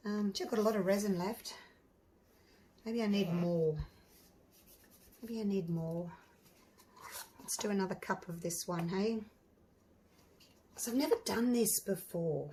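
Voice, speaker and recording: A slightly hoarse woman hosting a casual crafting tutorial, chatting and thinking aloud with lots of ums and restarts. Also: mid-range voice, a little mellow, slight background noise. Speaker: resin artist and channel host.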